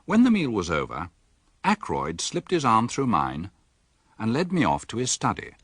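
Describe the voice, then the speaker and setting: An actor playing a mild village doctor in a radio drama, speaking and narrating in a measured, matter-of-fact way. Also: male voice, fairly low pitch, huge pitch swings, breathy edge, no echo, exaggerated voice. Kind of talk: radio drama dialogue and first-person narration.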